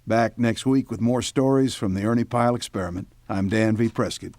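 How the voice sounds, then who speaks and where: Actor as a folksy, dry-miked serial announcer. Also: male voice, fairly low pitch, fairly even intonation, brisk, a little mellow, no echo, faint music behind, lightly acted. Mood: wry, grand. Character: folksy, philosophizing male radio serial announcer. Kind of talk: scripted narration.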